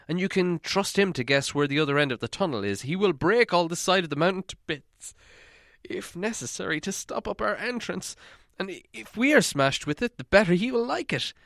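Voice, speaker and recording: A man voicing a fretful, higher-pitched little hobbit. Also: fairly bright tone, no room sound, studio quality. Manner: fretful, stammering, hesitant. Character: anxious gloomy hobbit, small adult male, fretful. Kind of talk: storybook character voice in audiobook reading.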